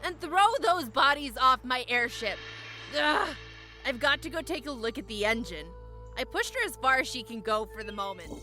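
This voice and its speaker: A man's voice: very high-pitched, expressive, moderate pace, bright, forceful tone, soft background music, pitched above the performer's own voice, heavily acted. A man voicing an angry, exasperated female airship pilot in a fantasy audiobook. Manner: exclamatory, exasperated. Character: angry, gruff-tempered female airship pilot, shouting and huffing.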